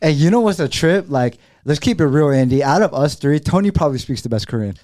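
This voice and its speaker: A man's voice: on the high side, animated, fast, darkish tone, no room sound, studio quality. A young man with a Los Angeles accent hosting a casual chat, slangy, swearing freely and laughing often. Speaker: podcast host.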